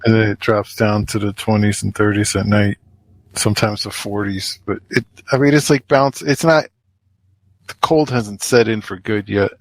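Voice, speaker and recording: A man co-hosting a podcast in casual conversation, speaking in a laconic, low-key way. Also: fairly even intonation, normal speed, dry recording, rough recording. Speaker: podcast co-host.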